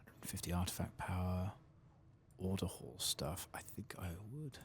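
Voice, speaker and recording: A man giving soft-spoken, sometimes whispered ASMR gameplay commentary, murmuring and musing as if to himself. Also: low voice, expressive, unhurried, a little hiss or hum. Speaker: game streamer.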